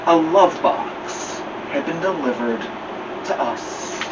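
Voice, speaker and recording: A man's voice: a little high, lively intonation, slow pace. Man reading a picture book, noisy muffled recording. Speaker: storytime host. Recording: muffled, clear background noise, slightly distant.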